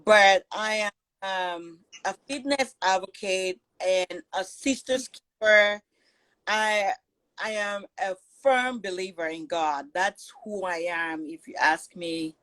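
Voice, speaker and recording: A woman's voice: medium pitch, slow, fairly bright tone, poor audio. A middle-aged woman from Cameroon, a business founder talking earnestly and at length as a guest on a live video call. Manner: earnest, talkative, with restarts.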